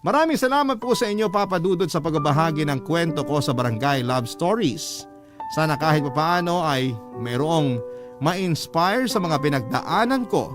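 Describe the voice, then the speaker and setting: A man with a Filipino accent reading a listener's letter as an earnest, measured radio storyteller. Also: a little high. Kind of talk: dramatic scripted narration. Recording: prominent music bed under the voice. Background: music.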